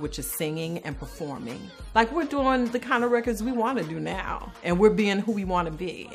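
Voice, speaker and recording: Low-pitched American woman, casual interview. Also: a bit bright. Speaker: singer in a family group.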